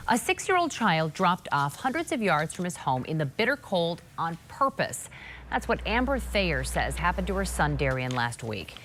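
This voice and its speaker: A woman's voice: low voice, noisy background. An American woman reading scripted television news as an anchor.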